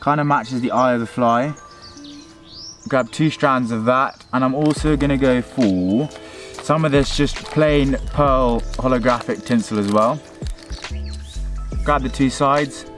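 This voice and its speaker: A man's voice: medium pitch, measured pace, a bit bright, faint music behind. A young man hosting a fishing vlog, talking viewers through his steps in a casual, chatty way. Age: young adult. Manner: chatty, addresses viewers as folks and guys. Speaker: fishing channel host. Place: outdoors by a river. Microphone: handheld camera at arm's length.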